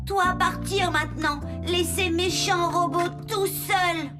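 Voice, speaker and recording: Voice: extremely high pitch, steady tone, takes it slowly. An actor voicing a naive, sweet, eager giant robot child in a French cartoon dub, speaking in broken, simple third-person phrases. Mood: sorry, sad. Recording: music under the voice.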